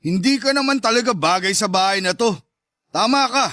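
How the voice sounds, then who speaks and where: High, sharp sneering macho bully , acted by a man. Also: brisk, no room sound, studio quality. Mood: taunting. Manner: sneering, taunting. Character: domineering, mocking macho ex-husband, jealous and scornful. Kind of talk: Tagalog audio drama dialogue.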